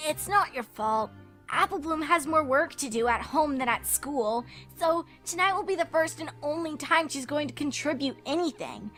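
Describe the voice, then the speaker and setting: A woman acts out a young tomboy pegasus filly with a high voice. The cartoon character is blunt, testy and exasperated. Music plays underneath. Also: normal speed, a bit bright.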